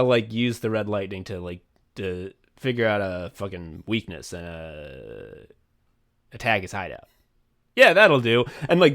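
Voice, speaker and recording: A young man chatting casually as a podcast co-host, rambling excitedly and swearing often as he walks through his own thinking. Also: on the low side. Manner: rambling, profane, excitable, acts out his own thought process. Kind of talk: casual behind-the-scenes chat.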